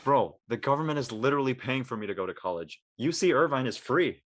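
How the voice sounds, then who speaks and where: Lively, slangy student son played by a man. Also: medium pitch, quick pace, lightly acted. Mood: curious. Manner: casual, slangy, inquisitive.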